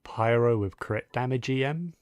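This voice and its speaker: A man casually commenting on his own gameplay, low-key and muttering to himself as he plays. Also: moderate pace, smooth. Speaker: gaming channel host.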